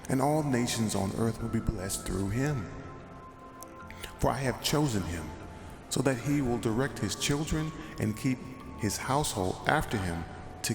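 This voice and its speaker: A man voicing the Lord, a solemn male deity, in a grave, measured way, with music behind. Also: lowish voice, reverberant, in a large hall.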